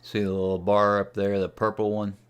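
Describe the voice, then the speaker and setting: A man with a fairly low, smooth and clear voice narrates a casual software demonstration. He talks unscripted, thinking aloud as he works through each step like a hobbyist showing his setup. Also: completely flat delivery, fairly quick. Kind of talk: casual screen-share tutorial narration.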